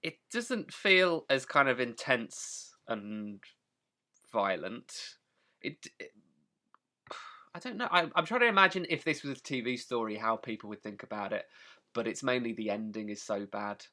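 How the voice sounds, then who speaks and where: A man with a bright voice and animated, swooping intonation. He chats casually as a podcast co-host, opinionated and loose with his language. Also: medium pitch, measured pace. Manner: informal, sweary, opinionated. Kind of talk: casual chat, opinionated review.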